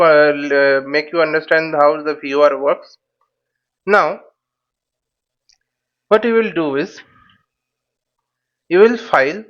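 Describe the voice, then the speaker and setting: A man giving an informal tutorial in a halting, step-by-step way, repeating phrases and checking with short questions. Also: high voice, a bit bright, highs cut off. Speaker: flight simulation instructor and presenter.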